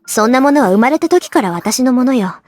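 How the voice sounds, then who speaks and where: Fast, high-pitched cocky young woman. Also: expressive, darkish tone, faint music behind. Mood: smug, teasing. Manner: brisk, self-assured, lecturing. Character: confident, sharp-tongued, teasing young woman mage. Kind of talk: Japanese anime visual novel acting.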